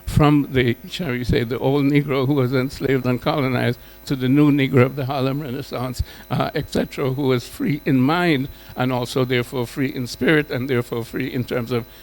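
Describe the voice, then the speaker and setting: Male panelist, mid-pitched with noticeable fry. Halting, emphatic, intellectual, improvised delivery. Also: steady tone, low-quality recording. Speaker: panel speaker. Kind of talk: extemporaneous panel speech.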